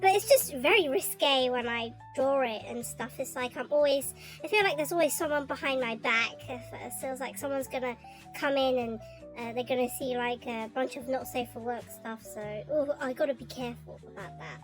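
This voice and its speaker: A young woman with a high, light voice chats casually while streaming her art, her throat still slightly sore from a recent cough. She rambles and hesitates, with lots of ums and restarts. Soft music plays faintly underneath. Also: normal speed, rough recording. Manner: rambling and hesitant, with many fillers. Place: bedroom at home.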